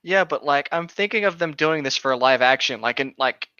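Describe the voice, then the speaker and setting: A man's voice: a little high, expressive, normal speed, sharp tone, smooth, dry recording. A man co-hosting a casual commentary, chatting and reading aloud, on a slightly dull lo-fi microphone. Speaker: co-host.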